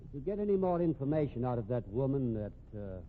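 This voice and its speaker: Actor as a fussy gentleman, animated intonation. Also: medium pitch, quick pace, faint music behind, natural voice. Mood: insistent. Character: fussy, insistent bereaved husband. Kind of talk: 1950s radio mystery acting. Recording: tinny, noisy old radio recording.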